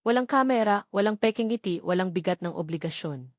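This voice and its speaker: A woman's voice: mid-range voice, animated, brisk, no echo, clean studio sound. A woman with a Filipino accent reading scripted dramatic narration as an audiobook storyteller.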